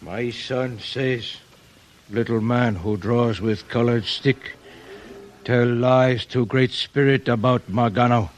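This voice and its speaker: An actor playing a grave, dignified old Apache chief in a 1950s radio western, with formal, measured phrasing. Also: male voice, medium pitch, steady tone, lightly acted, poor audio. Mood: grave, stern.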